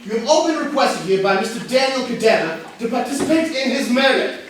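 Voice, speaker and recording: A man's voice: very high voice, expressive, fairly quick. A man playing a formal, unflappable butler who speaks precisely in a comedic staged reading. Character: formal, unflappable butler hosting a murder mystery. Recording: echoey room, distant mic, faint noise.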